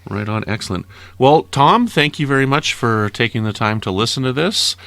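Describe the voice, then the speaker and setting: A man's voice: mid-range voice, animated, moderate pace, gravelly, fairly bright tone, dry recording. A middle-aged man from Canada chatting casually and prompting with questions as he records listener feedback. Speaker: listener sending audio feedback. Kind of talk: casual review chat with his child.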